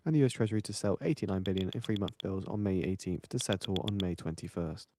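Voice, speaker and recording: A man reading terse financial news headlines in a flat, measured way. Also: low-pitched, noisy background. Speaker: market news reader.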